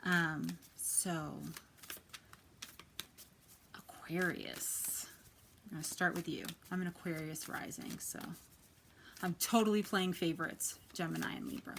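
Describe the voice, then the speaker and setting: A woman in her thirties with a quiet, soft voice, chatting casually as she gives a tarot reading. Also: fairly low pitch, steady tone, a little slow, creaky, pauses often, a little hiss or hum. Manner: chatty, lots of ums and likes. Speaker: tarot reader.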